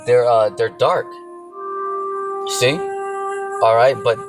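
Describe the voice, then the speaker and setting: A man's voice: mid-range voice, fairly even intonation, slow. Man preaching casually over music. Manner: repetitive, filler-heavy, keeps checking in with "okay" and "you know". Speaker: religious teacher. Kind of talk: informal preaching.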